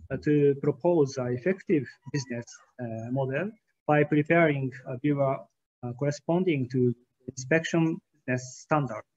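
Guy giving a keynote on a webcam call, slightly high voice, lots of uh pauses, audio a bit muffled. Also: a little mellow. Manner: hesitant, frequent "uh" fillers, careful formal phrasing. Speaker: keynote speaker. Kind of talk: conference keynote presentation over slides. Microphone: webcam on a video call.

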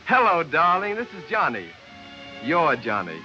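An American actor in his thirties playing a fast-talking, flustered radio producer in a 1940s comedy film. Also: on the high side, huge pitch swings. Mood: sweet-talking. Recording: muffled 1940s film soundtrack, music behind.

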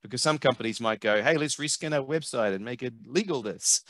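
A middle-aged man with a New Zealand accent, a marketing consultant speaking as an interview guest over a headset on a video call, animated and emphatic, often repeating words as he thinks. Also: medium pitch, fairly quick, no echo. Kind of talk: conversational interview. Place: home office, video call. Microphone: headset mic.

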